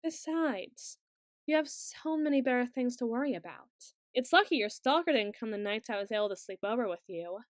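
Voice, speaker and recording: A woman's voice: fairly high pitch, animated, fairly quick, dry recording, studio quality, lightly acted. A woman playing an awkward, bookish, secretly obsessive student in an ASMR roleplay, speaking in stilted, over-formal phrases with some hesitation. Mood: defensive, detached.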